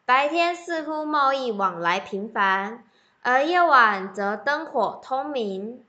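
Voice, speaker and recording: A woman's voice: high voice, animated, takes it slowly, pushed and bright, muffled recording, exaggerated voice. A teenage girl playing a trusting elder ghost, a wanderer who is easily exasperated, in an amateur radio drama. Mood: wistful.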